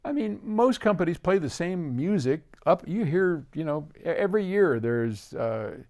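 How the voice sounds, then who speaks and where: A man's voice: fairly high pitch, very animated, normal speed, a little mellow. An older man hosts casually, answering a viewer's question in an emphatic, opinionated way. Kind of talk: casual explanatory talk to camera.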